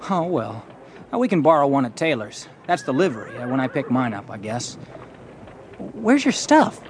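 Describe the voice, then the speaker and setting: A man's voice: mid-range voice, big pitch swings, normal speed, a little hiss or hum. An actor playing an earnest fourteen-year-old boy in a western, telling his story in a plainspoken, hesitant, thoughtful way. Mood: earnest, unsure. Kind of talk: first-person dramatized audiobook narration and dialogue.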